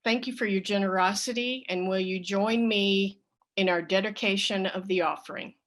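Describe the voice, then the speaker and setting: A woman leading a worship service, speaking in a measured, deliberate way as she gives announcements and dedications. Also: medium pitch, steady tone.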